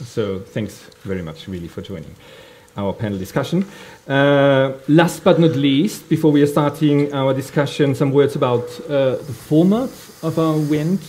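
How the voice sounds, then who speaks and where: Mellow-voiced man chairing a panel. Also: medium pitch, slight background noise. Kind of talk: formal panel introduction. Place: public panel event in a library.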